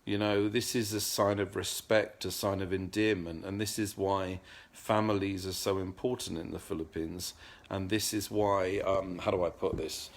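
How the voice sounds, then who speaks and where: A man's voice: low-pitched, flat delivery, moderate pace. A middle-aged man talking earnestly in a casual vlog monologue, often repeating words as he searches for the next one. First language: English. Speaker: vlogger.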